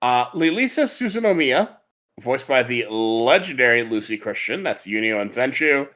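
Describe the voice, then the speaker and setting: A man's voice: medium pitch, big pitch swings, normal speed, a bit bright. A man hosting a podcast, chatting casually about anime in a rambling, enthusiastic way. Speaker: podcast host.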